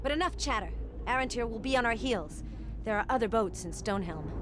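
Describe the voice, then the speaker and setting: A woman's voice: on the high side, lively intonation, brisk, a bit bright, a little hiss or hum. An actress playing an earnest, commanding young noblewoman mage in a fantasy video game. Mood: brisk, determined. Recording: game music behind the voice.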